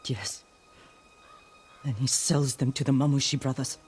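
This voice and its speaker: A woman playing a grim, wounded thief bent on revenge in a dramatic video game, terse and weighty, with a low voice. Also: unhurried, a little mellow. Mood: grim, guarded. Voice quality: low-pitched voice. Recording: music behind the voice.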